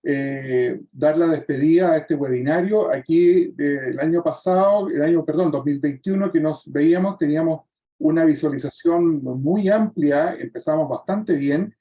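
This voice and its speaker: A man's voice: fairly high pitch, normal speed, warm tone. A man, moderating a webinar and giving closing remarks with frequent hesitations. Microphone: video call.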